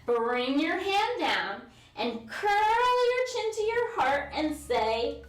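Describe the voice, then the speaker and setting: A woman with a high, very lively voice guides little kids through a story and movement lesson. She gives simple, encouraging, sing-song prompts and leaves room to copy her. She is a little away from the mic in an echoey room. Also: slow pace, faint music behind. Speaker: children's yoga and story time host. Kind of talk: interactive read-aloud and movement lesson for young children. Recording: roomy echo, distant mic.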